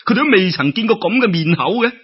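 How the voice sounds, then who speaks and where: A male Cantonese storyteller narrates a folk tale with theatrical exclamations. His voice sits slightly high and is rough-edged, with very lively intonation. The recording is dull, like old radio. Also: quick pace.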